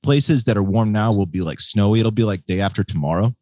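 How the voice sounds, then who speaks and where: An American man hosting a podcast in casual, slangy chat, with a husky voice and a laid-back manner. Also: lowish voice, fairly quick, darkish tone, no echo, studio quality. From United States. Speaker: podcast host. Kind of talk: casual chat about motor racing.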